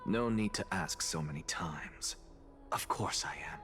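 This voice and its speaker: An actor playing a proud, aloof male scholar in a video game, with measured, erudite and dismissive delivery. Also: sits low, a bit bright, soft background music. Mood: aloof, scornful. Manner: haughty, measured, erudite diction. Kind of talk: scripted video game voice acting.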